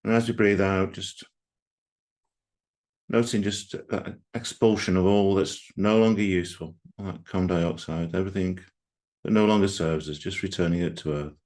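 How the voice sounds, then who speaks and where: A man leading a guided meditation as a group guide, speaking gently and unhurriedly with long pauses. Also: sits low, fairly even intonation.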